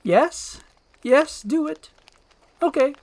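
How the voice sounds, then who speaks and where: A man voicing a gruff, impatient male ogre with a brusque, mocking manner, in a storybook screenplay reading. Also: very high voice, expressive, takes it slowly, darkish tone, pitched above the performer's own voice, full character voice. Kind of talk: storybook screenplay read with character voices.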